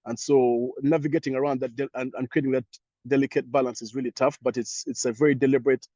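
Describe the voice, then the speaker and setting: Husky Ugandan man on a muffled call. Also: mid-range voice, steady tone, fast talker, dark tone, no room sound. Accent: Ugandan English. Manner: thoughtful, many restarts and fillers. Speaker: panelist. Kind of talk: panel discussion answer.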